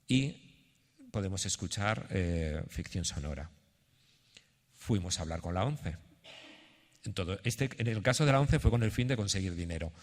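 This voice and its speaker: A man giving an informal conference presentation in a halting, explanatory way, as if thinking aloud. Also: low voice. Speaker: theatre institution representative presenting a project. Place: conference hall. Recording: echoey hall with long reverb.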